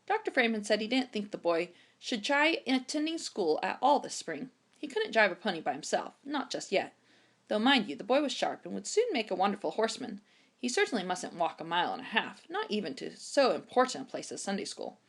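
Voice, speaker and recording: A woman reading a children's chapter book aloud in an expressive storytime narration style. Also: medium pitch. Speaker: storytime reader.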